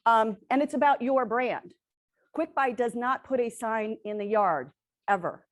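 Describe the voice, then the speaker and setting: A woman presenting to a room of real estate agents, energetic and quizzing the audience, who says she is losing her voice. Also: a little high, a little slow. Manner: energetic, asks the audience questions, tags lines with "right?". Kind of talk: sales presentation to a live audience.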